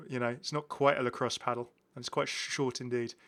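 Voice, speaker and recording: A British man hosts a gaming video in a bright, forward voice at a middling pitch. He talks casually and keenly, rambling through his points and often rewording them midway. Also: flat delivery, fairly quick. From United Kingdom. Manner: rambling, self-correcting, enthusiastic. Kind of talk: casual game commentary.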